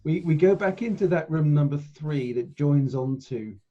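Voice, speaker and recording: A man with a dark, husky voice on a muffled, lo-fi mic. He talks through plans casually at a tabletop game. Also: fairly high pitch, animated, normal speed. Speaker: player in a tabletop roleplaying game.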